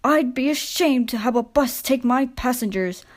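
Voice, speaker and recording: A woman's voice: high voice, normal speed, pitched above the performer's own voice. A woman voicing a stern but plucky old male steam engine in a children's storybook, earnest and effortful. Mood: straining, determined.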